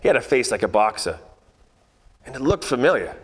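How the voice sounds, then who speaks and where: A man's voice: mid-range voice, very animated, brisk. A man playing a wisecracking, cocky 1940s private detective with wry, world-weary slangy delivery in a radio noir parody. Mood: guarded, wry. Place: theatre production shot before green screen. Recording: echoey hall, faint noise.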